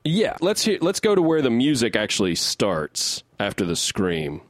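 A man co-hosting a casual comedy music podcast, riffing haltingly and repeating his words as he goes. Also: mid-range voice, huge pitch swings, dry recording, clean studio sound. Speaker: podcast co-host.

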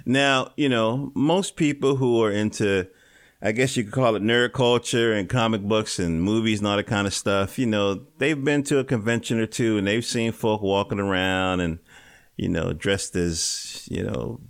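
Male host, lowish voice, laid-back and chatty, dry home-studio sound. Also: normal speed, dry recording. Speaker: podcast host. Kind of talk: casual interview. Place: small home studio in a dining room. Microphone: home studio mic.

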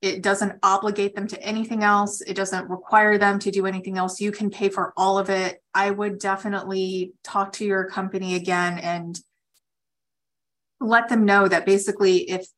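A woman's voice: mid-range voice, level tone, normal speed, fairly bright tone. A woman with an ordinary mid-range voice speaks as an attorney advising callers on a conference call. She explains things directly and practically, in a conversational register. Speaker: immigration attorney hosting a call-in session. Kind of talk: conversational legal advice to callers.

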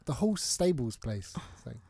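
A man's voice: medium pitch, very animated, moderate pace, vocal fry, warm tone, breathy edge, dry recording, studio quality. A young man with a London accent, chatting casually in slang and often chipping in with questions. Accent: London English. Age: young adult. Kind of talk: casual chat.

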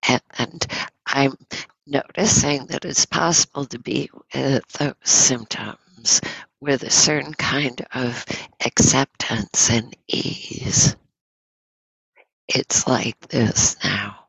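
A woman with a cold speaks softly as a meditation teacher, gently guiding listeners in short phrases with pauses between them. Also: a bass voice, gravelly, husky, no echo, low-quality recording. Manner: soft, unhurried, pausing between short phrases. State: has a cold. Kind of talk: gentle guided meditation talk.

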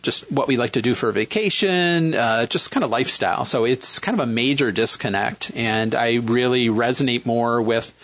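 A man in his fifties with a Midwestern accent, talking casually as a guest, with many pauses and fillers as he searches for words. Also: mid-range voice, fairly bright tone, dry recording. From Michigan, raised in Western New York. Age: 50s. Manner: hesitant, many fillers and restarts. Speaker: guest, single dad looking for a partner. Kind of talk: casual chat.

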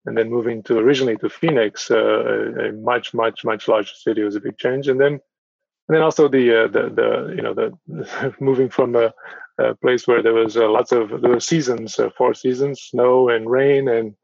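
A middle-aged man from Portugal answering interview questions as a podcast guest, speaking haltingly and repeating words as he goes. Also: medium pitch, steady tone, vocal fry, a little mellow, no echo. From northern Portugal. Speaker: podcast guest, town mayor. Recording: dull, muffled lo-fi audio.

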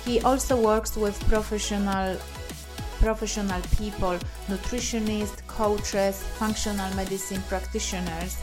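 A woman's voice: medium pitch, steady tone, unhurried, music in the background. A woman from Poland with a Polish accent, hosting a podcast interview, effusive and full of uh and um fillers. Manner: warm, effusive, many fillers. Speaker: podcast host.

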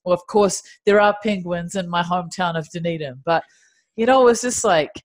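A woman's voice: on the low side, a little fast. Chatty New woman guest. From New Zealand. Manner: chatty, rambling, many restarts. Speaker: podcast guest. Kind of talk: casual interview.

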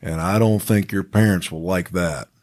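A man playing a firm, no-nonsense school principal in an amateur radio play, speaking in a measured way. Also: sits low, fairly even intonation, darkish tone, close to an everyday voice. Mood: stern.

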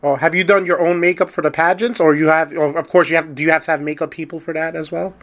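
A man's voice: a little high, steady tone, fast talker, fairly bright tone. A man hosting a casual interview, asking chatty, rambling questions. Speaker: interview host.

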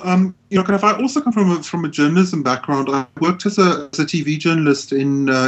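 A South African man in his forties speaks as a podcast co-host in a relaxed, halting way. He keeps restarting his sentences and fills the gaps with ums and "you know". His voice is a bit husky and sits slightly high for a man. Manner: hesitant, many restarts and fillers. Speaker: podcast co-host, academic researcher. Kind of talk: casual podcast chat.

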